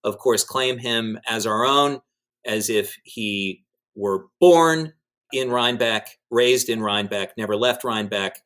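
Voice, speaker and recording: A man's voice: mid-range voice, unhurried, fairly bright tone. A man speaking as a guest in podcast chat.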